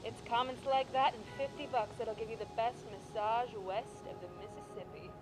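Actress as a sassy, highish-voiced flirt. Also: female voice, moderate pace, music in the background, close to an everyday voice, poor audio. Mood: wisecracking. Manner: wisecracking, teasing. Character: sassy, tough-talking flirtatious woman entertainer. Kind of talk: vintage radio drama acting.

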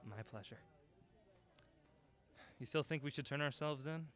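A man's voice: a little high, measured pace, faint music behind, natural voice. A man acting a cocky, easygoing young thrill-seeker on the run in a radio drama.

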